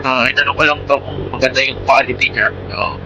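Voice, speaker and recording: A man's voice: mid-range voice, brisk, vocal fry. A man with a Filipino accent chatting casually in a rambling, informal vlog, mixing in English words, speaking into a Bluetooth intercom headset. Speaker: motovlogger. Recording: Bluetooth intercom mic with vehicle noise.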